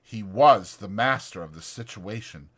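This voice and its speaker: A man's voice: fairly low pitch, expressive, a little slow, a bit bright, slightly rough. A man reading literary fiction as a dramatized audiobook narrator.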